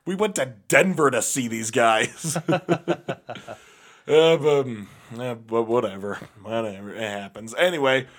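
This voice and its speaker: A young man with a slightly raspy voice chatting casually as a podcast host, laughing often and rambling through fillers. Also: medium pitch, a little slow, a bit bright. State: slightly raspy voice after a gig. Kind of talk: casual chat.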